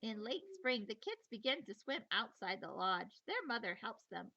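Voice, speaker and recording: A woman teacher reading a children's nature book aloud in an expressive, playful storytelling manner, with chatty asides. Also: on the high side, normal speed, gravelly, lo-fi sound.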